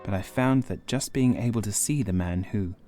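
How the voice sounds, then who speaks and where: A man reading a gothic horror audiobook in the first person, in a measured, formal storytelling manner. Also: on the low side, warm tone, faint music behind. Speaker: audiobook narrator.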